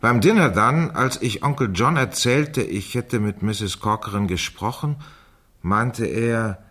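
An actor playing a man who reads a diary aloud in a radio crime drama, deliberate and precise, with a husky voice. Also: fairly low pitch, fairly bright tone. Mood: measured, reflective. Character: measured man reading a girl's diary aloud.

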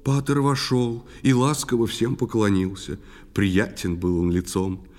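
A man giving expressive scripted verse narration for an audio play, with a long reverb. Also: lowish voice, measured pace. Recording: long reverberant hall sound.

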